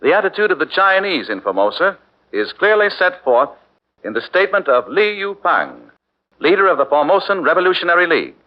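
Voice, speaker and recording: A man narrating a 1940s radio documentary drama in a measured, dramatic way. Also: high-pitched, sing-song. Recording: dull 1940s radio with music.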